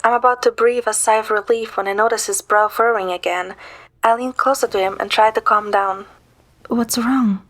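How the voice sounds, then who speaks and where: A woman playing a playful, caring young woman who narrates a romantic story in the first person, in a gentle, soft manner. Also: a little high, fairly even intonation, moderate pace, lightly acted. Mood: gentle, soothing. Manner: gentle, soft, sometimes whispering.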